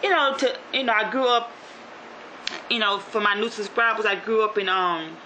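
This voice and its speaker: A woman with a Southern Louisiana accent talks to her viewers in a chatty, rambling way, full of fillers and restarts. Her voice is bright and forward. A faint hiss of noise sits under the recording. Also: mid-range voice, moderate pace, poor audio. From Shreveport, North Louisiana. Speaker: YouTube vlogger. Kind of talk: casual motivational vlog.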